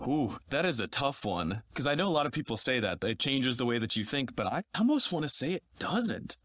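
A man's voice: medium pitch, sing-song, brisk, a bit bright, no room sound, studio quality. A man in his twenties, a podcast guest chatting casually in a playful, self-mocking way. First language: English. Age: 20s.

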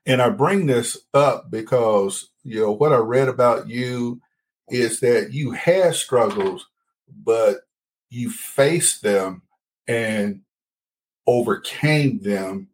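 A man's voice: mid-range voice, slow. A man hosting a parenting podcast, interviewing a guest in a friendly, familiar way. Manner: friendly, calls guest brother. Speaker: podcast host.

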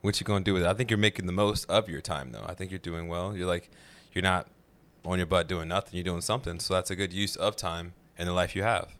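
A man with a very low voice hosts a casual interview, chatty and encouraging. His tone is bright and forward, with a bit of edge. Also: steady tone, quick pace, few pauses. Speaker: interview host.

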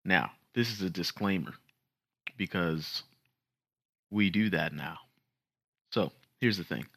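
A man's voice: low-pitched, takes it slowly, creaky, fairly bright tone, breathy edge. A man hosting a podcast, opening the show and questioning a guest in a casual, chatty way. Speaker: podcast host. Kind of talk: show intro and interview.

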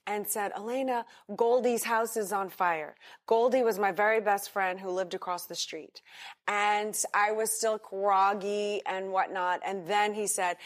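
An American woman giving an interview as a guest, talking candidly about her past. She pauses often and restarts her sentences with fillers. Her voice sits at an ordinary middle pitch. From United States. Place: hotel in Singapore.

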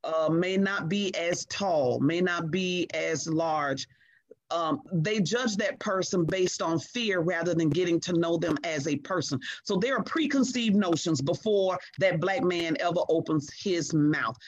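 A middle-aged woman speaking as a guest in a conversation, earnest and emphatic, measured in her delivery. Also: fairly low pitch, no echo, muffled recording. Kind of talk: reflective conversation.

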